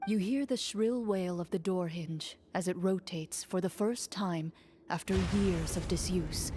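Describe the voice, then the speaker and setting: A woman voicing a game master who narrates a role-play in a measured, formal way in a video game. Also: on the low side, warm tone, a little hiss or hum, natural voice.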